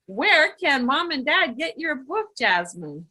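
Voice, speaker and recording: Female kids' show host, high bright voice, unhurried, addressing young children. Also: sing-song.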